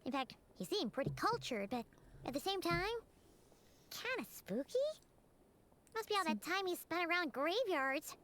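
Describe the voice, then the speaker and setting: A woman voicing a tiny, childlike, excitable and timid fairy companion in a video game, with a squeaky, expressive delivery. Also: moderate pace, pauses often, a little hiss or hum, heavily acted. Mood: wary, chatty. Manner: squeaky, expressive, speaks in third person.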